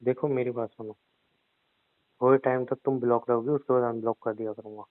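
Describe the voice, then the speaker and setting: A man's voice: medium pitch, steady tone, fairly quick, dry recording, natural voice. A man playing an evasive, bossy husband, cajoling and repeating himself in a naturalistic audio drama argument. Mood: exasperated, cajoling. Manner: cajoling, repetitive, stubborn. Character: evasive, bossy husband stubbornly putting off his wife. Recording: tinny, dry phone-call sound.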